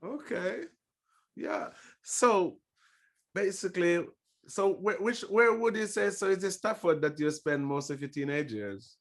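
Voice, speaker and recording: A man's voice: sits high, expressive, unhurried. A man hosting a podcast, effusive and loose, with lots of fillers as he talks. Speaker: podcast host. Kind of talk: casual interview chat.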